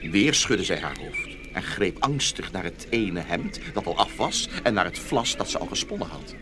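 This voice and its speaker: A man's voice: sits low, sing-song, normal speed, background noise. A man narrating a fairy tale as a scripted storyteller.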